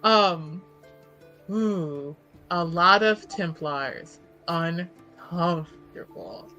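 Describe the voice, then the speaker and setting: A woman's voice: fairly low pitch, extremely slow, sharp tone, dull audio, faint music behind. A woman playing a tabletop roleplaying game, chatting informally and thinking aloud in broken phrases. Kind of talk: casual chat during actual play.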